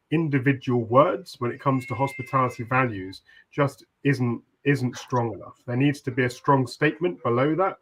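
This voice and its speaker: A man from the UK, speaking on a business webinar panel in thoughtful, winding sentences with frequent pauses and restarts. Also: mid-range voice, a bit bright, poor audio. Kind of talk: reflective webinar discussion.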